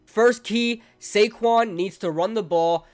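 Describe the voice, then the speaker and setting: A man's voice: high voice, takes it slowly, faint music behind. A young man hosting a casual football preview, chatty with lots of fillers and a congested, nasal sound from a cold. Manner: chatty, fan-like, frequent fillers. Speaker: sports channel host.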